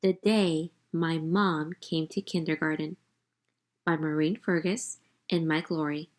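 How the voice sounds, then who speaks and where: A woman's voice: low voice, fairly even intonation, a little slow. A woman teacher leading an interactive picture-book read-aloud, expressively addressing young listeners and asking them questions.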